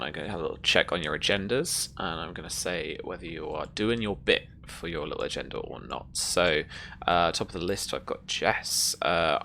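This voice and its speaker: A man's voice: sits low, creaky, sharp tone, a little hiss or hum. A man running a tabletop game, talking casually to his players as game master.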